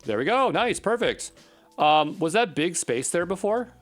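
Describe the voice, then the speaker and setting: A man's voice: high-pitched, sing-song, unhurried, a bit bright, faint music behind. A man talking informally to himself as he works through code in a casual screencast, muttering as he troubleshoots. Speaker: programmer recording a coding session. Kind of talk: casual think-aloud screencast narration.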